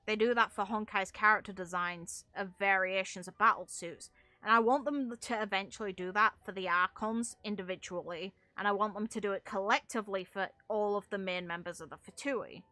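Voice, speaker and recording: A woman's voice: mid-range voice, moderate pace, bright, forceful tone, no echo, faint music behind. A young woman giving casual, opinionated game commentary in a blunt way, swearing freely. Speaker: gaming content creator.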